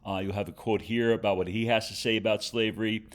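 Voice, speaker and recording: A man speaking as a teacher, giving an informal on-screen walkthrough of instructions in a careful, step-by-step way with halting phrases and stressed key words. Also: fairly low pitch, steady tone. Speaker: teacher giving homework directions.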